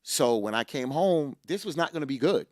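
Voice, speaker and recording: A man's voice: medium pitch, lively intonation, normal speed, a little mellow, no echo, clean studio sound. A middle-aged man co-hosting a casual chat, telling a personal story with energy and repeating lines for emphasis.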